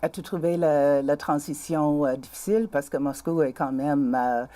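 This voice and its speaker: A woman with a Québécois accent hosting a community TV interview. She asks halting questions broken up by many fillers. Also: low voice, mellow. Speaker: host.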